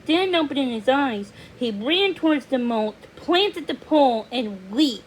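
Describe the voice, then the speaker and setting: A woman reading a children's story aloud as narrator, halting now and then over words. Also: sits high, lively intonation, slightly rough, low-quality recording.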